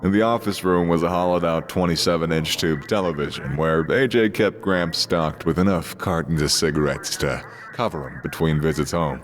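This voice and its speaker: Very deep-voiced man narrating fiction. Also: normal speed, hardly any pauses.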